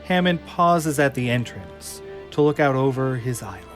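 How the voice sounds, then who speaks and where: A man's voice: medium pitch, expressive, normal speed. A man reading scripted stage directions as the narrator of an audio drama. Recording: music under the voice. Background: music.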